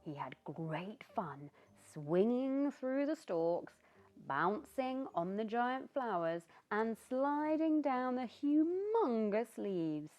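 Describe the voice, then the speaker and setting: Very lively, slow-paced British woman storyteller. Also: fairly high pitch. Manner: expressive, theatrical, with gasps and asides to the listener. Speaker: children's storybook reader. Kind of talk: animated read-aloud narration for young children. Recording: dull lo-fi sound with background music. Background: music.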